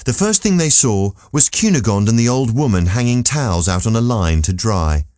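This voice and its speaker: A man's voice: fairly low pitch, lively intonation, normal speed, darkish tone, no echo, studio quality. A man narrating a classic literary story from a script as an audiobook reader.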